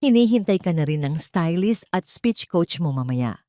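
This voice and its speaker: A woman voicing an old, wealthy father, gentle yet authoritative, in an audio drama. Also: on the low side, big pitch swings, moderate pace, dry recording, studio quality. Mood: firm, urging. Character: tender, commanding old billionaire father, protective.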